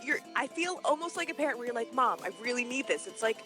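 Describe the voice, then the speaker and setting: A woman's voice: fairly high pitch, expressive, fast, a bit bright. A woman in casual conversation as a co-host, playful and teasing in the way she talks. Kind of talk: casual chat. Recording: music playing behind the voice. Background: music.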